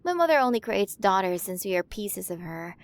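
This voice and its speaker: A woman's voice: medium pitch, sing-song, brisk. A woman voicing a playful, curious alien queen of a galaxy in an ASMR roleplay, speaking to the listener in a fascinated, coaxing way. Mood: chatty, confiding.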